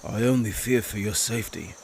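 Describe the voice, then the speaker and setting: A man's voice: on the low side, a little fast, gravelly, a little mellow, slight background noise. A man playing a loyal, anxious lord who advises his prince in formal, archaic courtly speech for an audio drama. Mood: apologetic. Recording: music under the voice.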